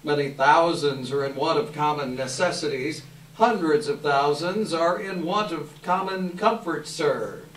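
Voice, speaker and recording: Heard from across an echoey room with faint hiss, a man plays a courteous, portly gentleman on stage. He sounds formal and hesitant, in a slightly high male voice. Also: unhurried, recorded from a distance. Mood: polite, hesitant. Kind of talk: readers theater stage reading.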